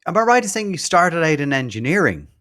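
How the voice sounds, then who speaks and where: A man hosting a marketing podcast, friendly and quick to laugh. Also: fairly high pitch, very animated, sharp tone. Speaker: podcast host.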